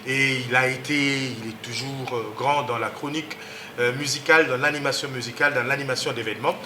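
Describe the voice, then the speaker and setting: Bright-voiced man hosting, roomy studio. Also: steady tone, a little fast, slight background noise. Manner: emphatic, rises in pitch on key words, hesitant fillers. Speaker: TV talk show host. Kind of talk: TV talk show interview.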